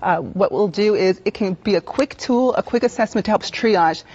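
Casting: American woman, 30s, medical expert guest on TV news, informative, plain-spoken, mid-pitched, slightly muffled broadcast sound. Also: normal speed, darkish tone, slightly rough. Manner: informative, explains in plain terms. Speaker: emergency room nurse and expert guest. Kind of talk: explanatory live TV interview. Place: TV news studio. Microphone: lapel mic.

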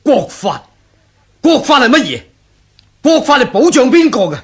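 An actor playing a defiant, bitter bandit chief in a radio drama, with a husky voice and a Hong Kong Cantonese accent. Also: male voice, very high voice, sing-song, normal speed, full character voice. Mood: indignant scorn. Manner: indignant, scoffing, rhetorical.

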